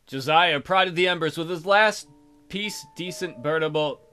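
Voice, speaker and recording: A man's voice: fairly high pitch, a little slow, sharp tone, soft background music. A man hosting a let's play, reading game text aloud expressively and adding casual jokey commentary.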